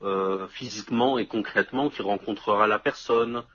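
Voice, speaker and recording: A man in casual discussion, explaining step by step and checking that the listener follows, with a husky, rough voice. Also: fairly low pitch, moderate pace. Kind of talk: casual two-person discussion. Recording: dull lo-fi audio.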